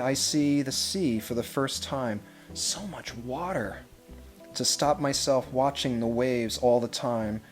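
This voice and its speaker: A man reads a picture book aloud as a school head would to children, with measured, expressive phrasing and pauses. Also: medium pitch. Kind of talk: storybook read-aloud with brief asides.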